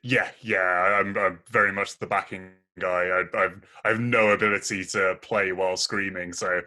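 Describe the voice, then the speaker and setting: A young man with a very deep voice, chatting casually as a podcast guest and laughing often at himself. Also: fairly even intonation, moderate pace, sharp tone. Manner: laughs often, self-deprecating. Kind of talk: casual chat.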